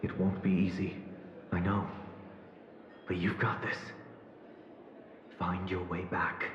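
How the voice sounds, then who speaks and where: A grave, reassuring male game mentor. His low voice is slow and even, solemnly encouraging. The sound is muffled and echoey with noise behind. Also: a crowd in the background. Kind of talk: video game voice acting.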